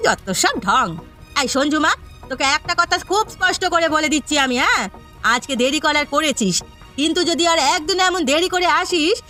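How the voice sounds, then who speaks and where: A woman's voice: high voice, sharp tone. A woman voicing a harsh, sarcastic, bossy village aunt who scolds like an employer in a cartoon story.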